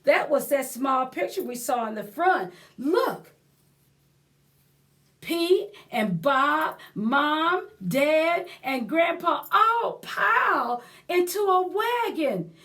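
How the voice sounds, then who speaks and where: A woman reading a picture book aloud to young children as a library storyteller, expressive and pausing to explain things and prompt her listeners. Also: high-pitched.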